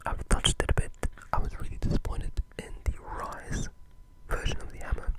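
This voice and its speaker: A gaming creator speaking in a breathy, unvoiced whisper, giving rambling but enthusiastic ASMR-style game commentary. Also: moderate pace.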